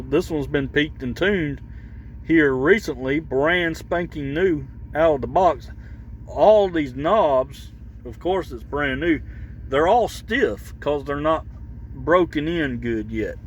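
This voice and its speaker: Male vlogger, unhurried and halting, casual off-the-cuff product chat with some vehicle noise behind him. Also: a little high. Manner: halting, rambling, searching for words. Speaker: vlogger showing his CB radio. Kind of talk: casual unscripted product talk.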